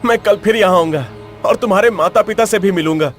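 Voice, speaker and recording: An earnest young storybook prince, acted by a man, speaks in a fairly high male voice. He is formal, emotive and tinged with sorrow, with faint music behind him. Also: fairly quick, close to an everyday voice. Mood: grateful, earnest. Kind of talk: dramatized cartoon story dialogue.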